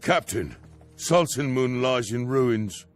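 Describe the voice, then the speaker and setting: A man playing an earnest, excitable, loyal soldier in a video game cutscene, with emphatic, dramatic delivery. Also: medium pitch, slow pace, faint music behind. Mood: grim.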